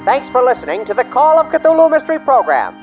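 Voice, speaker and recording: A woman's voice: sits high, very animated, quick pace, muffled recording. A woman announcer reading scripted podcast credits. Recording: band-limited radio-like sound over faint music.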